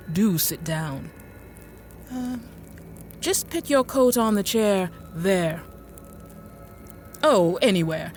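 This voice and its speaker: Slow, genteel infirm woman inventor. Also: lowish voice. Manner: hesitant, courteous, formal old-fashioned phrasing. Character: genteel, infirm middle-aged woman inventor, politely apologetic and bookish. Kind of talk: radio drama monologue and dialogue.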